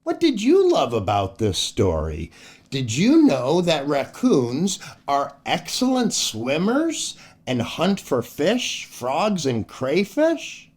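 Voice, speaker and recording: An older American man with a fairly high, very lively voice tells stories to children. He takes his time and addresses his young audience in a theatrical way, with light music behind him. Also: takes it slowly. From United States. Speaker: children's storyteller and show host. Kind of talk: expressive children's story read-aloud.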